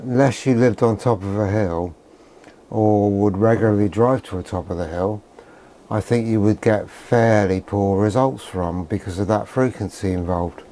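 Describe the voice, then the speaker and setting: A man's voice: fairly low pitch, dark tone, slight background noise. An older British man giving rambling, unscripted commentary in a vlog, with a dry, grumbling, sardonic manner. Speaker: presenter of a vintage radio video.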